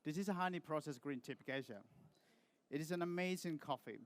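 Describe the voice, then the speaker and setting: A man from Peru giving a rehearsed barista competition presentation to judges, speaking in a measured way with short pauses. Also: on the high side.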